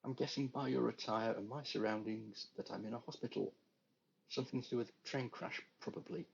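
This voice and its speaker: A man's voice: medium pitch, steady tone, fairly quick, darkish tone, lo-fi sound, slight background noise, voice pushed up, natural voice. A man voicing a confused, angry patient waking in a hospital bed, sarcastic and then frantic.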